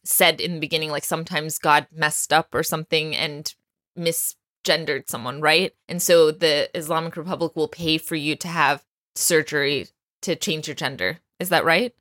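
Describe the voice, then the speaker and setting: A woman interviewing a guest, asking calm guiding questions. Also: sits low, moderate pace, no echo, clean studio sound. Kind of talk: casual interview.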